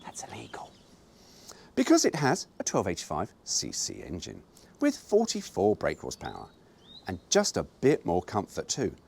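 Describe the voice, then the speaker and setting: A man's voice: deep voice, huge pitch swings, normal speed, creaky, a little mellow, husky. A man reading an old car brochure aloud, breaking off for wry, mock-indignant asides.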